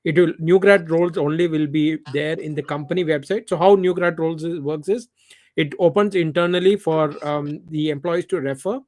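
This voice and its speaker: A man with a fairly high voice explains how new grad roles work. He speaks plainly, repeating his main points so they stick. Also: steady tone, normal speed, a little mellow. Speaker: meetup organizer. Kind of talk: giving career advice.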